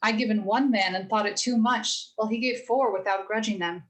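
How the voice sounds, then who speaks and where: A woman playing a gentle, earnest mother of four girls, telling stories in a measured, sincere way for a radio play rehearsal. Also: mid-range voice, natural voice. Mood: earnest, moved. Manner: measured, sincere moral storytelling.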